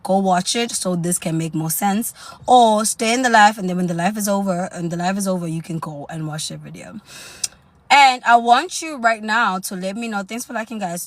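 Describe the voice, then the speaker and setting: A young woman from South Africa with a South African accent, chatting sassily on a live stream and calling her viewers honey and girl. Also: mid-range voice. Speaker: dating lifestyle live streamer. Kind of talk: casual live stream chat.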